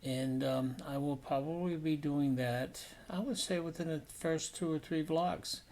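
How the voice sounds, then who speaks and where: A man's voice: on the high side, measured pace, a little mellow. A man narrating a painting demonstration as an instructor, in an informal, explanatory and somewhat hesitant way.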